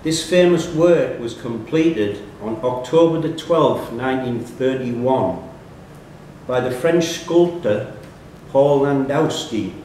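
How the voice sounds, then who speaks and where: A man's voice: animated, takes it slowly, darkish tone. Male quiz question reader, reading aloud live; very reverberant pub room, faint noise. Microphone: distant room microphone. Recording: echoey room, distant mic, faint rumbling noise.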